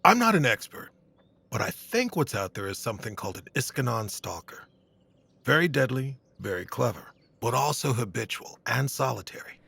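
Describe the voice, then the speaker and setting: A man playing a stern but compassionate knight with a gravelly, husky voice in a fantasy audio drama. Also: mid-range voice, very animated, moderate pace, sharp tone, dry recording, exaggerated voice. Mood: pondering.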